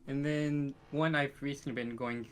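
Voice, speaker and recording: A man's voice: medium pitch, steady tone, moderate pace, soft background music. A man chatting casually as a guest on a podcast. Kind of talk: casual chat.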